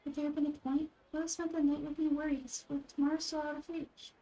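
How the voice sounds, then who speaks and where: Woman as a mellow, gentle young girl deity. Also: high voice, little pitch movement, low-quality recording. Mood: calm. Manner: measured, musing explanations.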